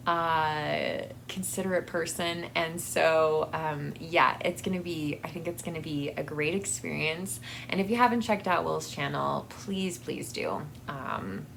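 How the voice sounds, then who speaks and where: A woman chatting casually as a book vlog host, in a halting, hesitant way that often trails off mid-thought. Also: fairly low pitch, slight background noise.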